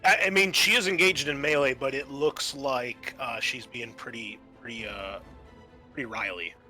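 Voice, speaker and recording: A man's voice: mid-range voice, normal speed, bright, forceful tone. A man acting as game master, narrating scenes and explaining rules in a conversational way over background music. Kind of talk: narrating and ruling a tabletop roleplaying game.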